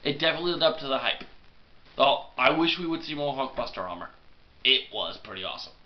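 Excitable young man with a cold. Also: medium pitch, quick pace, muffled recording. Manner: excitable, rambling, self-deprecating. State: congested with a cold. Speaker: movie review vlogger. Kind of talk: casual rambling film review. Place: small bedroom at night.

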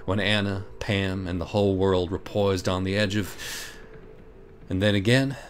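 Low-pitched man, mock-dramatic comic narrator. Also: steady tone, moderate pace, a little hiss or hum. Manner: profane, mock-dramatic, scornful. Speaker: audiobook reader.

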